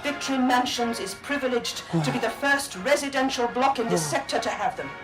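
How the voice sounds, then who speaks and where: A woman playing a zealous, bossy exercise instructor of an authoritarian state in a radio drama, brisk and hectoring. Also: a little high, animated, over music, close to an everyday voice. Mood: devout pride. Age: 30s.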